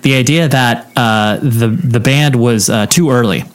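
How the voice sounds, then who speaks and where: A man with an average-pitched voice interviews a guest on a podcast. He circles around his questions with restarts and fillers before landing on them. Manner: halting, searching for words. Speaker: podcast host.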